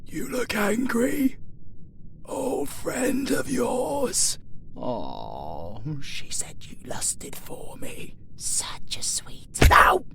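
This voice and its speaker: Wildly swinging British necromancer. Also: male voice, very high voice, wildly swooping pitch, very slow, creaky, pushed and bright, in a whisper, heavily acted. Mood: sly. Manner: theatrical, mocking, swearing, lurching from mutter to shriek. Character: gleeful villainous necromancer lord, doting on undead minions. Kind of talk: audio roleplay acting.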